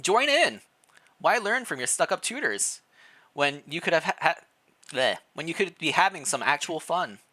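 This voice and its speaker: Lively, bright-voiced streamer reading aloud. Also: medium pitch, normal speed. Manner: playful, expressive reading. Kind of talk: livestream read-aloud with casual chat.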